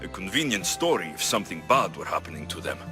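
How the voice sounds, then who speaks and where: An actor playing a capable, earnest Greek mercenary hero in a video game cutscene. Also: male voice, sits low, very animated, fast talker, music in the background. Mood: calm, sincere.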